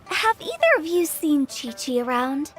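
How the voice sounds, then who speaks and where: A woman's voice: sits high, big pitch swings, unhurried, faint music behind. A woman playing a spirited young female funeral parlor director in a video game, telling things in a knowing, slightly theatrical way. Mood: playful, scheming. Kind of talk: video game character dialogue.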